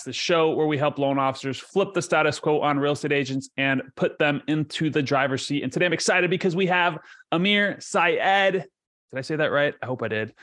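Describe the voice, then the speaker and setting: A man's voice: a little high, a bit bright. A man from Ecuador hosting a podcast, chatting in a casual, chummy way with informal address. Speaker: podcast host. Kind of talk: casual interview chat.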